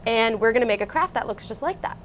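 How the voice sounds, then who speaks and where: A woman's voice: a little high, expressive, fast. A woman co-hosting a craft show, demonstrating steps casually and chatting around a table indoors. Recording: noisy room with table sounds.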